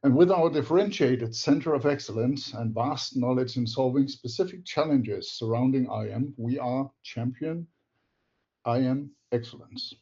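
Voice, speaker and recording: Older Danish man presenting, mellow voice. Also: medium pitch, husky. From Denmark. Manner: measured, slightly halting non-native phrasing. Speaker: presenter, technology advisor. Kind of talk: webinar presentation. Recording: dull lo-fi webinar audio.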